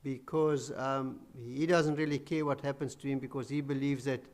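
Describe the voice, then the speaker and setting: A man giving a welcome and announcements in a small church, loud and chatty, with many fillers. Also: medium pitch, little pitch movement, normal speed.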